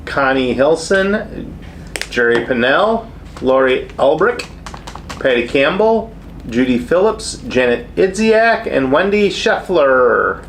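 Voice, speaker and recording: A man's voice: medium pitch, big pitch swings, takes it slowly, vocal fry, fairly bright tone, a little hiss or hum. A man co-hosting a casual craft stream with his wife, speaking in short, easygoing remarks. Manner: laid-back, brief agreeing remarks. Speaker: co-host, host's husband. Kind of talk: casual chat on a live stream.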